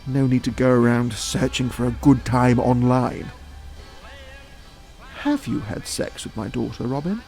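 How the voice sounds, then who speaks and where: An actor playing a worldly, middle-aged father in an audio drama, smooth-talking, provocative and theatrical. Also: mid-range voice, measured pace, a little mellow, soft background music. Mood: probing, candid.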